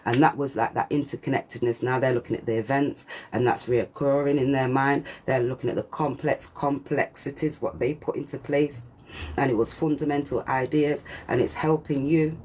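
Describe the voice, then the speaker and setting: A woman with a deep voice giving a channelled spiritual reading, preachy and repetitive, with swearing and slang. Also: steady tone, normal speed, a little mellow, breathy edge. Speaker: spiritual reader. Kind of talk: channelled collective reading monologue.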